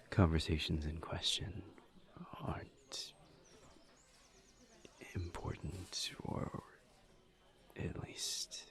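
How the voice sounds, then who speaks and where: A man playing a shy, polite incubus student in an intimate audio roleplay, speaking softly and haltingly. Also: deep voice, vocal fry, exaggerated voice. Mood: quietly candid. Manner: hesitant, halting, awkward small talk. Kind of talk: audio roleplay acting, intimate one-sided conversation.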